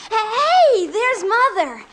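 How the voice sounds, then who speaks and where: An actress playing a bright, innocent young daughter in a 1950s radio comedy. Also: female voice, very high-pitched, sing-song, drawn-out words, heavily acted. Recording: very noisy old radio recording with audience.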